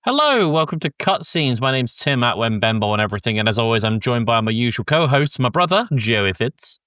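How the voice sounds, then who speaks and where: A man who is a bit sick hosts a casual film discussion. His voice is bright and forward at a middling pitch, recorded close and dry. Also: sing-song, a little fast, no room sound, soft background music. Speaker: podcast host.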